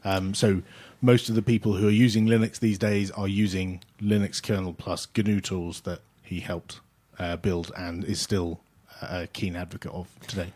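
A man's voice: low-pitched, moderate pace, hardly any pauses. A man hosting a podcast, chatting casually and rambling with many fillers. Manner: relaxed, rambling, hesitant with fillers. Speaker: podcast host.

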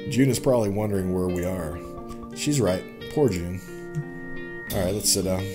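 Male gaming YouTuber, young adult, lowish voice, chatty and informal, music underneath. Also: moderate pace. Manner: informal, reacts with exclamations. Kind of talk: casual let's play commentary, reading game dialogue aloud. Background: game music.

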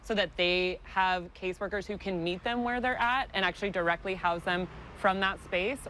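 A woman's voice: mid-range voice, normal speed, a bit bright. A woman giving unscripted interview answers as a program manager. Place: outdoors on a sidewalk. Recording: background street noise.

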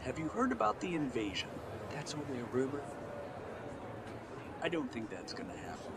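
A man's voice: mid-range voice, wildly swooping pitch, moderate pace. A man doing a dismissive street bystander. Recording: distant voice in a noisy street.

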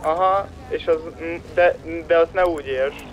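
A man's voice: fairly high pitch, dull audio, slight background noise. A man explaining things informally in casual chat during online roleplay gameplay. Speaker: gamer.

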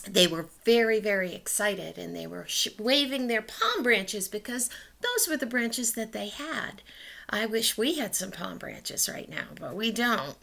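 A woman's voice: mid-range voice, gravelly, sharp tone. A middle-aged woman teaching a Sunday school lesson to children by video from a small room at home, chatty and talking straight to the kids. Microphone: laptop webcam.

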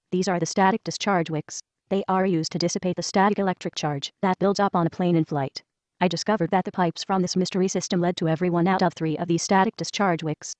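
A woman reading a first-person letter aloud in a brisk, even manner. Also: fairly low pitch, dry recording. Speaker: reader of a whistleblower letter. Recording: dull lo-fi voice track.

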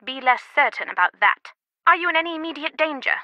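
A woman playing an earnest, slightly guarded and loyal confidante in a video game, speaking in a measured way. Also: high voice, expressive, dry recording, dull audio. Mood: wary, surprised. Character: earnest, secretive female phone confidante, loyal and concerned.

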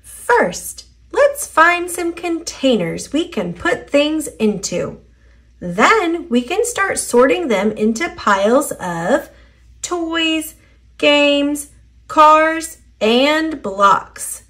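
A woman plays a cheerful little storybook helper with a high voice that swoops with encouragement. The delivery is unhurried and instructive, pitched for young children. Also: sing-song, a bit bright, heavily acted. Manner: upbeat, instructive. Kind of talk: children's storybook read-aloud.

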